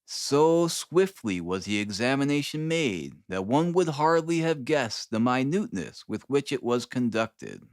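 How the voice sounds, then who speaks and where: A man narrating a classic story as an audiobook, in a measured, deliberate, dramatic reading style. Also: medium pitch, animated, no echo, clean studio sound. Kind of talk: dramatic scripted literary narration.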